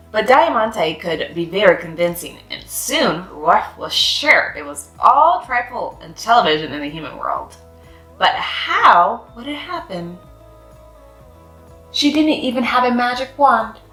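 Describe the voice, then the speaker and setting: A woman reading a children's picture book aloud in an expressive, sing-song way. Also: on the low side, a little slow. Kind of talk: storybook read-aloud. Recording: echoey room with faint music.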